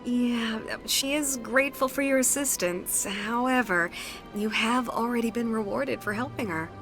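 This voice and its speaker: An actress playing a courteous, poised but firm alien secretary in a video game, speaking politely and formally. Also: female voice, on the high side, measured pace. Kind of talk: video game character dialogue. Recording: music playing behind the voice.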